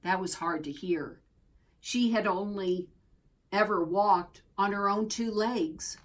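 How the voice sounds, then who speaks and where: A woman reading a children's picture book aloud as a storyteller, expressively and deliberately, drawing out key words. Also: mid-range voice. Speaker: storybook reader.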